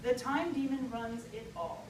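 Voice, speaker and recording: A woman reading a humorous personal essay to an audience, theatrical and emphatic, with deliberate pauses. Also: a little high, animated. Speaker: writer reading own essay. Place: live reading at an event. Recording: echoey, distant live recording with loud noise.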